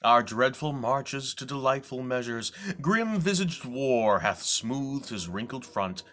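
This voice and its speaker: A man performing a bitter, scheming, deformed villain duke in a dramatic, deliberate Shakespearean soliloquy. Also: medium pitch, expressive, pitched above the performer's own voice. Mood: grand, mocking. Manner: dramatic, deliberate, swinging from declaiming to hushed whisper.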